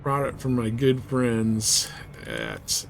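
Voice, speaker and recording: A man's voice: mid-range voice, huge pitch swings, takes it slowly. A man chatting informally and slangily as he gives rambling live commentary on a video game he is streaming. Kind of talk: casual live gameplay commentary. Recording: clear background noise.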